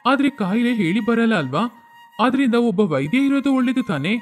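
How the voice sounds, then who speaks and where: A man's voice: very high voice, lively intonation, a little fast, faint music behind. A man voicing a humble, earnest village doctor in a moral story, polite and patient. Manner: polite, pleading.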